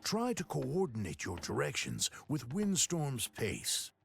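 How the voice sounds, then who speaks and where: An actor playing a calm male riding instructor in a game, patiently explaining steps to his student. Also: mid-range voice, slow, vocal fry, faint music behind, exaggerated voice. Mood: instructive. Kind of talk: game character dialogue.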